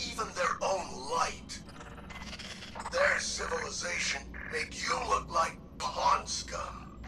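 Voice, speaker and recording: Cynical machine sidekick, brash, sarcastic, lively pitch, distant game audio, heavy background noise. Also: male voice. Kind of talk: video game character dialogue.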